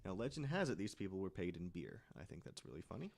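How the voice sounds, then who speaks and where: Low-pitched man telling a story casually. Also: sing-song, quick pace, creaky, darkish tone. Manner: conversational, checks in with "right?". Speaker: podcast panelist telling a story.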